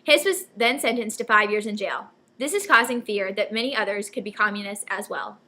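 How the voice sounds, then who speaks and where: A woman's voice: medium pitch, fairly quick, heavy background noise. A young woman anchoring a scripted newscast, reading out stories as narration. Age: young adult. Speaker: news anchor.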